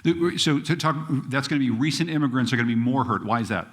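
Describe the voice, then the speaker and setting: A man hosts a public panel in an ordinary middle-pitched voice. He stumbles and repeats words as he frames his questions for the guest. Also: lively intonation, fast. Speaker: moderator, host. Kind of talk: panel discussion. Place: stage at a public event.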